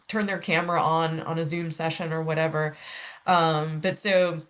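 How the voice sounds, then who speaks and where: A woman's voice: fairly low pitch, fairly bright tone. A woman with a head cold, sniffling as she hosts a casual virtual orientation panel, talking loosely with many fillers over a video call. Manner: chatty, rambling, many fillers. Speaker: orientation host, graduate life staff.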